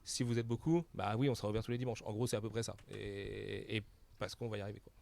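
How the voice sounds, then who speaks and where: A young man from France talks in an excited, slangy rush, as one of the founders of a new bookshop. His voice has a rough, gravelly edge. He speaks fast and keeps a loose, casual podcast tone. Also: on the low side. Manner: enthusiastic, slangy, quick.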